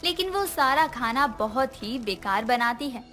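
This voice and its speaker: Female narrator for moral stories, high register, light music bed. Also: lively intonation, a little slow, sharp tone. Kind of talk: scripted story narration.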